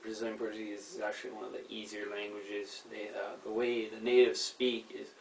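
A young Canadian man talks in a relaxed, casual way from a sofa, often restarting his sentences. The voice sounds distant from the camera mic. The room is echoey, with a faint hiss behind him. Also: lowish voice, fairly quick, creaky. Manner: relaxed, explains at length with frequent fillers and restarts. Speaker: guest. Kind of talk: casual chat. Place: living room, indoors, sitting on a sofa. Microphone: far camera.

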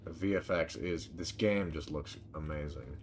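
A man's voice: rumbling low, moderate pace. A man streaming a video game with casual, sweary commentary, reacting and thinking aloud. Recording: game ambience noise behind voice. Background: video game ambience.

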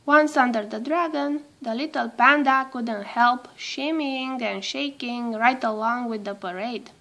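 Female storybook reader, high voice, slow careful delivery, expressive telling for young children. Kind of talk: read-aloud children's storytelling.

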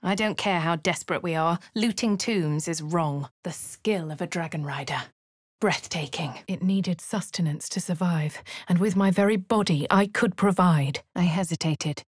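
A posh English game heroine: low, crisp, dryly sardonic, close and dry studio sound. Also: female voice, moderate pace, no echo. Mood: wry. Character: posh young female adventurer, dry and wry. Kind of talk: video game character voice lines. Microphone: close studio mic.

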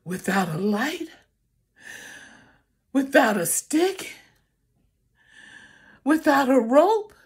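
A woman's voice: sits high, sing-song, extremely slow, long pauses, darkish tone. An older woman reading a picture book aloud to children, theatrically drawing out phrases and adding asides to the listeners. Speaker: storybook reader. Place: small room at home, indoors.

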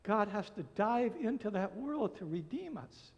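Man in his sixties, American accent, high-set voice, pastor's sermon delivery, earnest, deliberate phrasing, long church reverb. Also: sing-song, dull audio. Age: 60s. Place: church sanctuary, at a pulpit. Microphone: gooseneck pulpit microphone.

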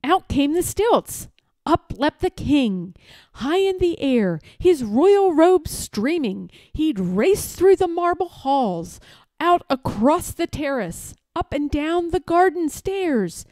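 Slow, animated woman reading a storybook. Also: high voice, dry recording. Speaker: read-aloud storyteller. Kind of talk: expressive children's storybook narration.

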